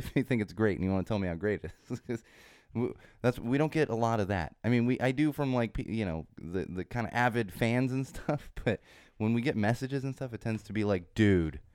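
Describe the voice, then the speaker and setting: A man hosting his own podcast, chatting casually while congested and a little hoarse from a cold, with a rambling, self-interrupting manner. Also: medium pitch, a little mellow, no echo, studio quality. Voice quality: slightly hoarse, sore throat. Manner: chatty and rambling, with frequent restarts and laughs. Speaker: podcast host. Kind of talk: casual solo podcast chat.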